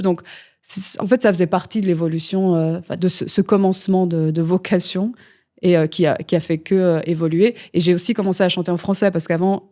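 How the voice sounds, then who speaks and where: Mellow low woman, rambling. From Belgium. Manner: rambling, many fillers and restarts. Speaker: guest musician. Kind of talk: casual interview talk.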